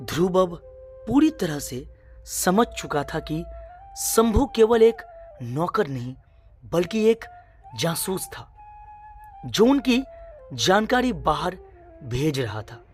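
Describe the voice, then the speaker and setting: A woman narrating a scripted suspense story in a dramatic, measured way, in short phrases. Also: lowish voice, big pitch swings, darkish tone, soft background music.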